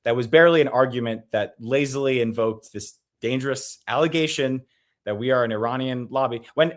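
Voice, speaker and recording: Earnest male advocate from the US, mid-pitched, quick and stumbling, heard over a video call. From United States. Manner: earnest, rapid, with restarts and nervous laughs. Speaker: advocacy group guest. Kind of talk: interview, political advocacy.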